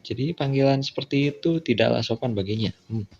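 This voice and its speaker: A man's voice: mid-range voice, fairly quick, vocal fry, a little mellow. A man with an Indonesian accent reading game dialogue aloud, with casual, slangy side comments. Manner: slangy, informal interjections. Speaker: visual novel let's-play streamer. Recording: dull lo-fi sound with music. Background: background music.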